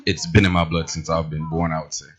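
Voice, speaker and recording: A man from Boston, a DJ, answering interview questions in a relaxed, matter-of-fact way. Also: extremely low pitch, fast, slightly rough. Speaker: guest DJ.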